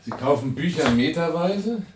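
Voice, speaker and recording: An actor in a German radio play as an urbane, wary importer, speaking and narrating with dry, polite irony. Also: male voice, a little high, lively intonation, normal speed, background noise, lightly acted.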